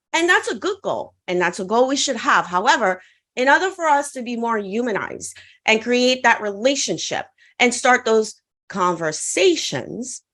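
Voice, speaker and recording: Lively, high-voiced Caribbean woman speaker. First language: French. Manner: upbeat coaching, asks audience to respond in chat. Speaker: conference speaker. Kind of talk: online conference presentation.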